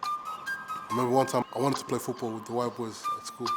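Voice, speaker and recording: Fast-talking young man, American-tinged Australian accent. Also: medium pitch, huge pitch swings, loud music behind the voice. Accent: American-influenced Australian. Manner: earnest, speaks in quick lists. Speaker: panel guest. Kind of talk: personal testimony.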